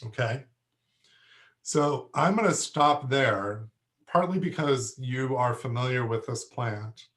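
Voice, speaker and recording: A male horticulture instructor lecturing slowly and unhurriedly at an ordinary pitch. His voice is going hoarse, and he keeps pausing to clear his throat between halting phrases full of fillers. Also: fairly bright tone. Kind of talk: lecture to a class.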